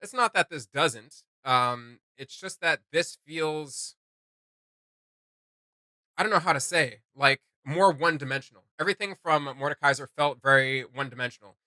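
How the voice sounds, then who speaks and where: A man's voice: on the high side, normal speed, bright, forceful tone, dry recording. A man hosting a reaction video, giving casual commentary with emphatic delivery.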